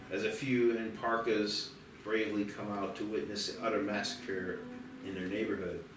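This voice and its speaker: A man reading scripted screenplay directions as the narrator of a table read. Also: on the low side. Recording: very echoey, distant, music behind.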